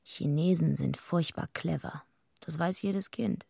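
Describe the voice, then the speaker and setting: A woman's voice: low voice, measured pace, gravelly, warm tone, husky, no room sound, clean studio sound, heavily acted. An actress playing a tough, streetwise young woman, narrating a radio drama in a wry, matter-of-fact way.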